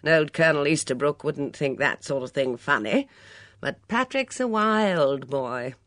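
A woman reader acts out a brusque, commanding countrywoman in an audiobook. She is blunt and loud, with clipped, no-nonsense phrasing. Her pitch moves around boldly in a close, dry recording. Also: lively intonation, a little slow, dry recording. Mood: brusque, matter-of-fact. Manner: blunt, bellowing, clipped upper-class slang.

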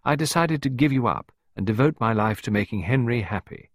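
A man's voice: medium pitch, animated, brisk, no echo, clean studio sound, natural voice. A man voicing a playful, composed married woman in an audiobook, airy and mock-dramatic. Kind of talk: audiobook character voice.

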